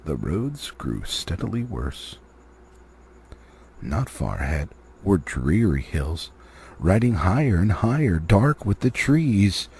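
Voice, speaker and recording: A man's voice: low voice, big pitch swings, takes it slowly, a little mellow, breathy edge. A man reading a fantasy novel aloud expressively, like an amateur audiobook, with the odd stumble. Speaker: amateur storybook reader.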